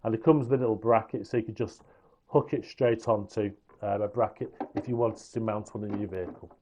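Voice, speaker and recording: A man's voice: on the low side, fairly even intonation, fairly quick, dark tone. A man giving an informal product review and demonstration, explaining features in a matter-of-fact way. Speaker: radio gear reviewer.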